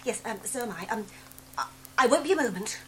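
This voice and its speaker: A woman's voice: fairly high pitch, lively intonation, quick pace, a crowd in the background, natural voice. A woman playing a flustered young friend. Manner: flustered, stammering, breathless. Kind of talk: radio drama acting.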